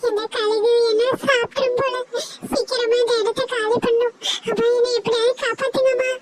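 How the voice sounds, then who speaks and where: Scared little girl chick, played by a woman, extremely high and tearful. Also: little pitch movement, normal speed, very noisy. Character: frightened disobedient little girl sparrow chick. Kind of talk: cartoon voice acting.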